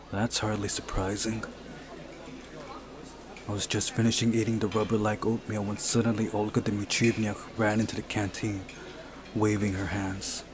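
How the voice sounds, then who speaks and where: A man reading a visual novel story aloud as narration, sometimes going back over a phrase. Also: on the low side. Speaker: visual novel player narrating and voicing the game's text.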